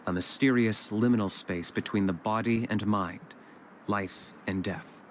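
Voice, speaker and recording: A man playing a calm, serious young warrior in a video game, measured and matter-of-fact. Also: on the low side, slight background noise, natural voice. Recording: music behind the voice.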